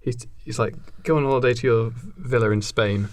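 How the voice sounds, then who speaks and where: A man's voice: mid-range voice, steady tone, brisk, dry recording. A man in his twenties casually improvising a story as a podcast co-host. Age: 20s.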